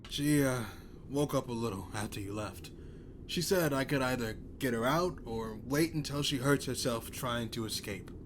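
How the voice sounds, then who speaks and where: Guy playing calm caring medic buddy, average pitch, bit wry, audio drama, noisy room tone behind. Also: moderate pace, natural voice. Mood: calm, practical. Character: calm, caring, drily humorous man acting as medic. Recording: clear background ambience noise.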